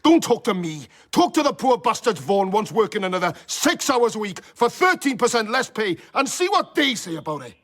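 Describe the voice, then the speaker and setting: A man's voice: high-pitched, sing-song, fairly quick, hardly any pauses, fairly bright tone, full character voice. A man acting in a radio drama as a blunt, embittered socialist doctor with a curt, sardonic way of talking and some kindness underneath. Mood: angry. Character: blunt, embittered socialist doctor, gruff but privately kind.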